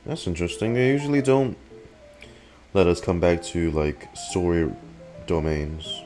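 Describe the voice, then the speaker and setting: A man's voice: low voice, a little slow, a little mellow, soft background music. A man giving casual live reaction commentary as a game streamer.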